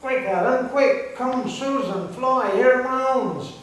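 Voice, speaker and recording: A man voicing an excited, wistful old gardener, calling out in rustic exclamations. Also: very high-pitched, slow pace, voice pushed up. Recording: distant, echoey hall, some hiss.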